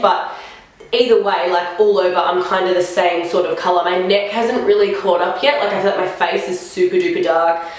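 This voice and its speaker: A young woman vlogger chatting casually through a makeup try-on, sounding a bit nasal from a flu and filling her talk with "like". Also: medium pitch. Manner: chatty, rambling, lots of "like" fillers. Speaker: beauty vlogger. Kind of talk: casual makeup first-impressions chat. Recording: very echoey room.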